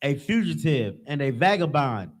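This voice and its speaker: A man reading scripture verses aloud in a steady, plain way. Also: a little high, moderate pace. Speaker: scripture reader.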